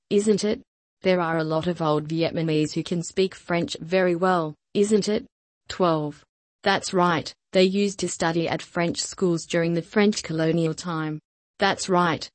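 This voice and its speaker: A woman with a somewhat low voice reads lesson sentences with slow, even care, repeating each one for learners. The sound is close and dry, with no room around it. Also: no room sound. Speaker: language lesson reader. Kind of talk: scripted repeat-after-me drill.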